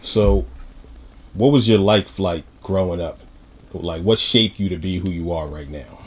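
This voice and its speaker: A man's voice: low-pitched, a little slow. A man hosting a casual hip-hop interview, speaking informally with plenty of slang. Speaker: interviewer, host.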